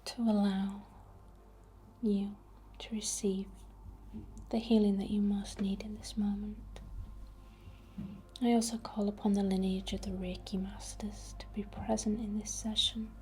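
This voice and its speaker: A woman whispering a ritual ASMR incantation in a breathy, deliberate way. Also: mid-range voice, flat delivery, a little mellow, a little hiss or hum. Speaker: ASMR witch and Reiki healer.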